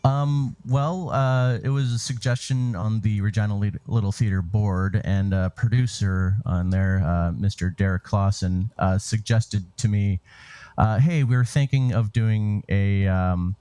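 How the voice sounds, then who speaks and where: Man, soft dark timbre, dry close sound, casual interview guest, halting delivery. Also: fairly low pitch, fairly even intonation, clean tone, no room sound. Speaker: interview guest, theatre director and writer. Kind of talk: casual conversational interview answers.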